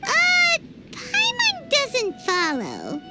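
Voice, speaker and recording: Tiny cheerful fairy companion: very high, childlike, swooping delivery for a fantasy game. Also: female voice, drawn-out words, full character voice. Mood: puzzled. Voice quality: squeaky, childlike. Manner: excitable, refers to self in third person. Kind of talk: anime-style game voice acting. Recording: music behind the voice.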